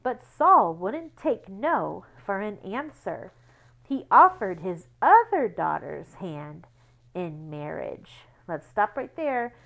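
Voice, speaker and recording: A woman reading a story aloud to children in a deliberate, explanatory way, pausing to guide young listeners along. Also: mid-range voice, sing-song, vocal fry, fairly bright tone, poor audio. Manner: deliberate, explanatory, spells out words and numbers. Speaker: children's Bible school read-aloud reader. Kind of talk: read-aloud storytelling for children with teaching asides.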